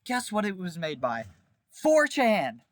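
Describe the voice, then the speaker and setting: A man's voice: sits high, wildly swooping pitch, slow pace, no echo. A man giving casual video commentary in a wry, informal way, leaning on emphatic exaggeration. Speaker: video creator reviewing a visual novel.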